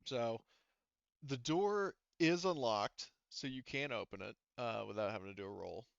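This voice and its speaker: A man's voice: mid-range voice, moderate pace, creaky, dry recording, muffled recording. A man acting as game master, explaining and narrating an online tabletop game in a casual, hesitant, rambling way.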